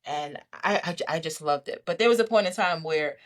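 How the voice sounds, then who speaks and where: A woman, giving an animated, emphatic testimony as a podcast guest and often repeating herself for stress. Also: deep voice, moderate pace. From Brooklyn, New York.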